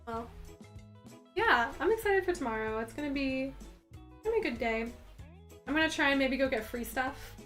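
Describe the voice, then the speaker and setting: A young woman in her twenties chats away on her stream, bubbly and rambling, with a noticeable lisp. Her voice sits a little high, and faint music plays quietly underneath. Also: animated, normal speed, pauses often. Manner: bubbly, chatty, lots of "like". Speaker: livestreamer. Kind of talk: casual chat reading viewer comments.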